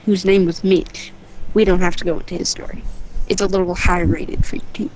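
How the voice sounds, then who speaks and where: A woman's voice: on the low side, level tone, brisk, darkish tone, slight background noise, low-quality recording. A woman telling a story casually and off the cuff, rambling, with offhand asides. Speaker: storyteller on a gaming channel.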